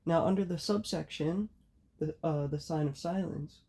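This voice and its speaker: Very dark-voiced raspy woman lecturing. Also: sits low, slight background noise. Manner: measured, explanatory delivery. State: hoarse from a cold. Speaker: occult channel host. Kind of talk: spoken lecture.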